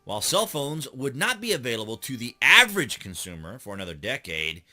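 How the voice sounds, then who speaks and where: A man's voice: mid-range voice, sing-song, brisk, bright, forceful tone, soft background music. A middle-aged American man hosting a news commentary channel, reading and commenting in a conversational way that leans on key words.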